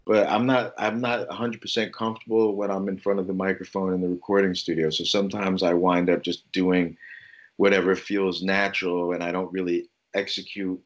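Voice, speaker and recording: A man's voice: low-pitched, flat delivery, brisk, dull audio. A man, a musician talking casually in an interview, rambling with many restarts and lots of swearing. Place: at home during lockdown.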